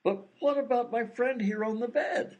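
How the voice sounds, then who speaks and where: A man's voice: extremely high pitch, normal speed, darkish tone, highs cut off. A man playing a worried, exasperated visitor, insistent and incredulous, as the straight man in a radio comedy sketch. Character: exasperated, worried man pleading for his unconscious friend.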